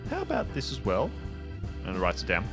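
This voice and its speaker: A man working as game master, casually improvising narration for a tabletop game in a halting, thinking-aloud way. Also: fairly low pitch, wildly swooping pitch, gravelly. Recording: music behind the voice.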